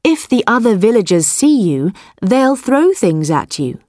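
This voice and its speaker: A woman voicing a quick-thinking, nervous male farmer, speaking with a lifted, eager delivery. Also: mid-range voice, big pitch swings, darkish tone, no room sound, clean studio sound, pitched above the performer's own voice. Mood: persuasive.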